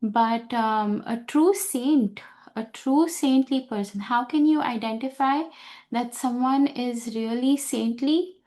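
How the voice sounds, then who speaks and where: Slow, searching woman teaching scripture. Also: on the high side, dark tone. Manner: slow, searching, many fillers and restarts. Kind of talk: unscripted talk on scripture.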